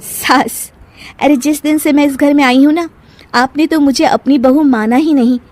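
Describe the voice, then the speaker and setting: Woman acting a feisty, high-voiced young bride. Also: expressive, normal speed, music in the background. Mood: resentful. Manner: feisty, argumentative. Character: defiant, sharp-tongued young newlywed daughter-in-law. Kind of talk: cartoon family drama acting.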